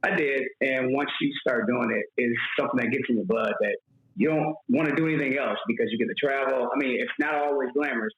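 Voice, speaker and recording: A man from New York talks easily about his work as a guest on a show. His voice sits at an everyday pitch. The audio is dull and lo-fi, with a roomy echo. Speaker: guest, flight attendant. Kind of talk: casual interview talk. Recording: echoey room, dull lo-fi audio.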